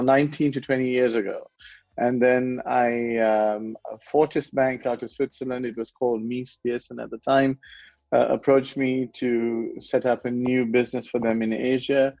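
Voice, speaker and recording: A man's voice: medium pitch, darkish tone, no room sound, dull audio. A man in his forties from Sri Lanka, a guest in a conversational interview who talks in a rambling way, corrects himself and chuckles often. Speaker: guest, wealth management founder.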